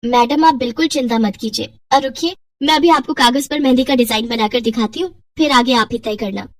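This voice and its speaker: A woman's voice: on the high side, a little fast, dry recording, recorded from a distance, close to an everyday voice. A woman voicing a humble, earnest young wife in a story, polite and eager.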